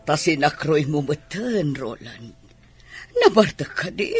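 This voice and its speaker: Concerned, scolding mother voiced by a male actor, Ilocano Filipino accent, raised pitch, animated fussing, radio serial. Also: takes it slowly, faint music behind. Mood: worried, scolding. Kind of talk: radio drama acting.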